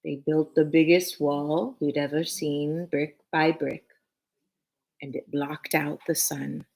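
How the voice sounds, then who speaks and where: A woman voicing a wistful, resigned old grandfather telling stories in a gentle, sorrowful way. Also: deep voice, little pitch movement, slow, creaky, full character voice.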